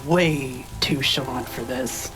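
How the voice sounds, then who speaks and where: A woman playing a tough, blunt orc giantess in a noir audio drama, slangy and unhurried. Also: a bass voice, over music. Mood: tense, resigned. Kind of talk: scripted audio drama dialogue.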